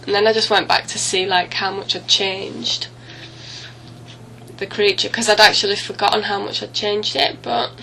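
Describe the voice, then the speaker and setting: Woman with a creaky voice and a cold. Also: mid-range voice, level tone, normal speed, pushed and bright, slight background noise, rough recording. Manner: rambling, apologetic, self-correcting. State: getting over a cold, slight cough. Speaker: gaming video host. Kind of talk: casual let's play commentary, recorded over footage. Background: music.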